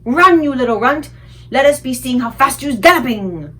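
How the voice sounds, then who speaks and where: Huge brutish male giant for a storybook, played by a woman: booming, crude, taunting, mangled grammar. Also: animated, moderate pace, fairly bright tone. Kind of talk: children's storybook read aloud.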